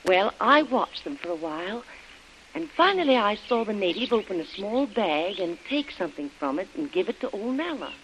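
A woman's voice: medium pitch, very animated, normal speed, lightly acted. A woman playing an anxious young wife who earnestly recounts events in a vintage radio drama. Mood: earnest recollection. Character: Helen, anxious young wife recalling her ordeal. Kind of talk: 1940s radio drama acting. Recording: muffled, noisy old radio recording.

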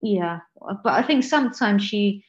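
An earnest woman talks as a guest in a relaxed interview. She often restarts and corrects herself mid-sentence. Her voice sits at a medium pitch and comes through a slightly dull, lo-fi recording. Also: measured pace. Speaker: guest author. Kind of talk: casual interview conversation.

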